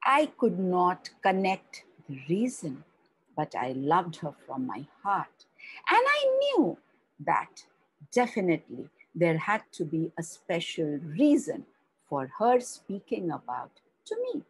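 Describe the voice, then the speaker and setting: Slow, breathy woman storyteller. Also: low-quality recording. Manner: slow, expressive reading with wide pitch swings. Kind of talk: storybook narration.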